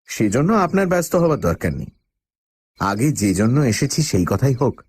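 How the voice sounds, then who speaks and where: A man's voice: mid-range voice, sing-song, moderate pace, a little mellow, breathy edge, no echo. A man playing the uneasy first-person narrator of a radio horror story, telling it in a dramatic, suspenseful way. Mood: tense, steady. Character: male first-person narrator, uneasy job-seeker with a dog.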